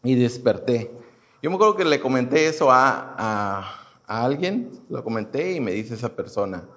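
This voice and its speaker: A man in his thirties from Houston, sharing his personal testimony at a mid pitch. He talks casually and haltingly, with fillers and false starts all through. The recording is muffled and lo-fi. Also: lively intonation, fairly bright tone. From Houston, United States. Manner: hesitant, many fillers and restarts. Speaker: churchgoer giving a testimony.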